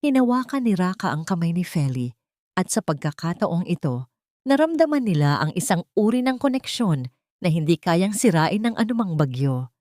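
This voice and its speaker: A woman's voice: on the low side, very animated, normal speed, darkish tone, dry recording, studio quality. A woman with a Filipino accent narrating a dramatic scripted story as an audiobook reader.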